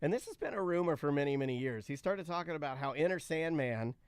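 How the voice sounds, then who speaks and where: Higher-voiced man bantering on a show. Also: animated, fast, clean tone, dry recording, clean studio sound. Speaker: podcast and radio show host. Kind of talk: casual banter and commentary.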